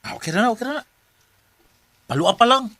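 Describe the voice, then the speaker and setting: A man's voice: very high voice, a little fast, creaky, heavy background noise, voice pushed up, exaggerated voice. A man voicing a teasing young male friend who pokes fun at the lead. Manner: teasing, excited.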